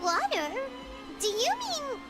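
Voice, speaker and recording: A woman voicing a tiny, cheeky, curious fairy girl companion in a video game, with a squeaky childlike voice and chirpy informal manner. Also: big pitch swings, takes it slowly, heavily acted. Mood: chatty. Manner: chirpy, informal, refers to self in third person. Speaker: game character. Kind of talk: scripted video game dialogue. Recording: game audio with background music.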